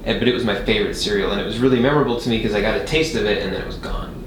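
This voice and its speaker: A man's voice: fairly low pitch, rapid-fire. A man chatting casually as a podcast guest, telling anecdotes in an enthusiastic, rambling way with casual swearing. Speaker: podcast guest, filmmaking sound engineer. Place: echoey studio room. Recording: echoey room with clear background noise.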